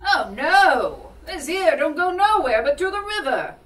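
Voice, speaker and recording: A woman's voice: high-pitched, very animated, unhurried, a bit bright, voice pushed up, heavily acted. A woman voicing a cheeky rustic simpleton in a shadow puppet show, folksy and sing-song. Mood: cheeky teasing. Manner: folksy dialect, sing-song tra-la-las, evasive. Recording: echoey live room, distant mic.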